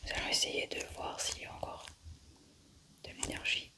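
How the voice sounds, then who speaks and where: A young adult woman whispers breathily in an ASMR roleplay, narrating lab work as a scientist in an unhurried, methodical way. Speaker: ASMR creator playing a scientist doing an autopsy.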